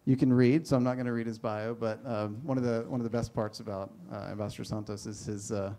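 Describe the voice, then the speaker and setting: A man's voice: on the low side, steady tone, fast, mellow. A man moderating a panel, introducing the speakers in a rambling, self-deprecating way. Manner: rambling, self-deprecating, many fillers and restarts.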